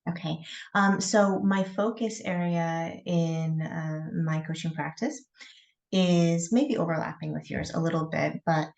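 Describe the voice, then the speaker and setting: A middle-aged woman from Michigan, a coach and podcast guest, talking thoughtfully with many pauses and fillers. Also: sits low, gravelly, a little mellow, highs cut off. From Michigan, USA. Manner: thoughtful, hesitant, with fillers and light laughs. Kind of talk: podcast interview.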